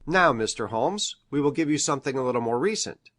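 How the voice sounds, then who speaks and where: An earnest country doctor played by a male actor reads aloud in a classic mystery drama. His medium-pitched voice is formal and grave, with measured storytelling phrasing. The sound is dry and free of echo. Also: lively intonation, a bit bright, natural voice. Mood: brisk, earnest.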